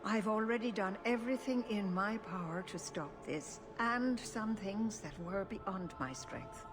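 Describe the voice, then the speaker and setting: A woman voicing a stern, grave clan elder in a fantasy video game, speaking in a measured, formal way. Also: medium pitch, close to an everyday voice. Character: stern older female elven clan keeper, grave and resolute.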